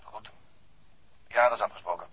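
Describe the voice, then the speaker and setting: A man's voice: fairly low pitch, moderate pace, lo-fi sound, over music. An actor with a Netherlands Dutch accent playing a curt, suspicious henchman speaking over the telephone in a 1960s radio play. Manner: brusque, wary.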